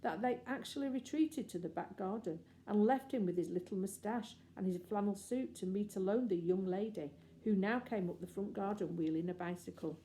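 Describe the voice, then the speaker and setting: A woman's voice: mid-range voice, moderate pace, hardly any pauses, warm tone, slight background noise. A woman reading a children's storybook aloud as scripted narration. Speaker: audiobook reader.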